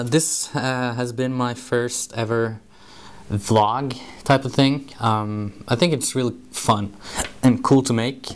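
A young man whose first language is Norwegian, talking casually to camera in an office room, hesitant with many ums and uhs. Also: fairly low pitch. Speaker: marketing vlogger.

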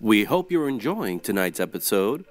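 A man playing an old-time radio announcer reading a sponsor's pitch. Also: sing-song, moderate pace, soft background music. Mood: salesmanlike. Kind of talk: vintage radio advertising.

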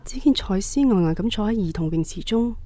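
A woman's voice: mid-range voice, animated, brisk, natural voice. A woman playing a devoted middle-aged wife and mother in a radio drama. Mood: worried narration. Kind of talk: radio drama acting and narration. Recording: music behind the voice. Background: soft guitar music.

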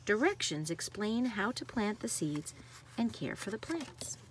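A woman's voice: medium pitch, big pitch swings, unhurried, slight background noise, poor audio. A woman reading a nonfiction picture book aloud to children like a teacher, stressing key words.

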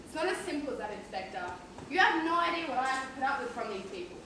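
A woman's voice: sits high, expressive, fast. A woman acting a defensive, hesitant care-home owner in an amateur stage play. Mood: defensive, evasive. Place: large theatre stage, indoors. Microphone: far audience camera. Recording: very echoey distant theatre, noisy.

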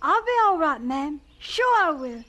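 A woman's voice: high-pitched, very animated, measured pace, bright, forceful tone, full character voice. An actress playing a polite, eager, timid ten-year-old farm boy in a radio drama, hesitant and respectful.

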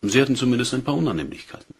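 An actor playing a polite, calculating British police inspector in a German crime radio play, with measured, insinuating deference. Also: male voice, mid-range voice, lively intonation, gravelly, breathy edge. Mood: quietly menacing.

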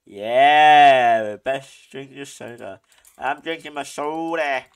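A man hosting a gaming channel, giving excitable play-by-play commentary, often laughing and yelping in alarm. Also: mid-range voice, takes it slowly, clear, resonant tone. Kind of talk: live gameplay commentary.

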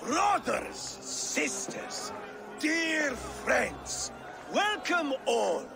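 Theatrical high-pitched Norse lord. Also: male voice, big pitch swings, extremely slow, creaky, over music, a crowd in the background, heavily acted. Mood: grandiose. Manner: theatrical, grandiose orator. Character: scheming pompous middle-aged Norse lord, secret zealot. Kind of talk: video game cutscene acting.

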